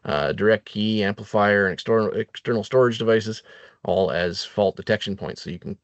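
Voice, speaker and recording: A man giving an explanatory product training presentation as a webinar presenter, with halting phrasing and frequent filled pauses. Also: fairly low pitch, no room sound, highs cut off.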